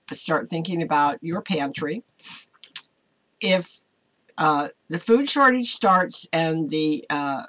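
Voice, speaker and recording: An older American woman, around her seventies, hosting an informal online Bible study in a halting, deliberate way from a small home office. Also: on the low side, a bit bright. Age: older, around 70s. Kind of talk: informal devotional talk to camera with scripture reading.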